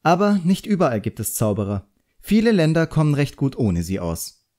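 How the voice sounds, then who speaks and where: A frank, composed woman who teaches drawing, voiced by a male audiobook reader. The voice is mellow, and the delivery is measured and instructive with a pointed edge. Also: fairly high pitch, sing-song, pitched above the performer's own voice. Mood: curt, pointed.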